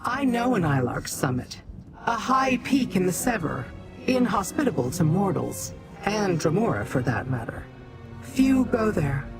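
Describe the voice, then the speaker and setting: An actress playing a cool, knowing woman in a fantasy video game, speaking in a formal, measured way over music. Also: mid-range voice, sing-song. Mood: musing.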